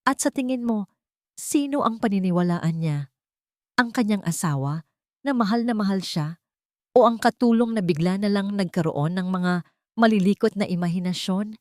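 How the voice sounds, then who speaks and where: A woman's voice: medium pitch, moderate pace, darkish tone, no echo, clean studio sound, voice pushed up, lightly acted. A woman voicing a cold, falsely polite, menacing wealthy female villain in an audio story. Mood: icy false politeness. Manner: venomous, controlled, threatening.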